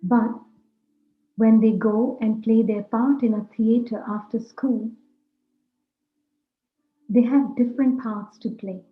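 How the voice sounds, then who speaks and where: A woman leading a guided meditation talk, speaking deliberately in short, measured phrases. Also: fairly high pitch, fairly even intonation. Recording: roomy echo, dull lo-fi sound, music. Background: music.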